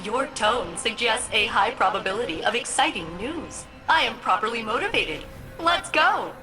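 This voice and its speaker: Quirky female starship AI, played by an actress, high and very lively, eagerly precise game dialogue over music. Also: normal speed, lightly acted, rough recording. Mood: bright, excited. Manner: chirpy, formal technical phrasing. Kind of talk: video game character dialogue. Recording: music behind the voice.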